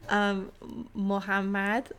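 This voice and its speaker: A woman's voice: medium pitch, completely flat delivery, extremely slow, a bit bright, smooth. A woman hosting a podcast, talking in a casual, chatty and reassuring way. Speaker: podcast host interviewing.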